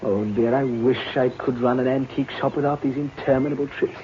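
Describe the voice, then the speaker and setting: An actor playing a courtly, affectionate but deceitful husband in a 1950s radio drama, full of theatrical endearments. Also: male voice, medium pitch, steady tone, fairly quick, lightly acted. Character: smooth-talking, affectionate, deceitful bigamist husband. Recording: muffled, noisy 1950s radio recording.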